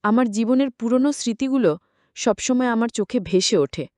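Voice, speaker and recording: A woman's voice: on the high side, expressive, moderate pace, a little mellow, no echo, lightly acted. A woman voicing a sorrowful, secretive but affectionate aunt in a narrated story, reflective and confiding. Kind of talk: acted dialogue in story narration.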